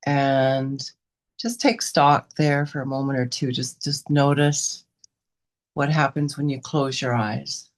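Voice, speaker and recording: A woman guiding a meditation as a teacher, giving unscripted gentle instructions in short, unhurried phrases with pauses between them. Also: rumbling low. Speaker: meditation and yoga teacher leading a guided session.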